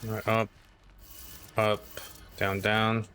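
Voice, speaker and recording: A man streams a game with casual, low-key commentary, thinking aloud as he goes. His voice is on the low side and bright, staying fairly flat, with faint noise behind it. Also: slow pace. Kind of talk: casual live gameplay commentary.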